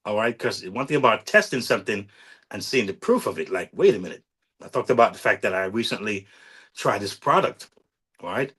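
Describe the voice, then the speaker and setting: Husky low-voiced man hosting a podcast. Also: animated, brisk, a bit bright. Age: 40s. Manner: repetitive, keeps asking "all right?"; earnest, self-promoting coach. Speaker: podcast host. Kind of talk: casual motivational monologue.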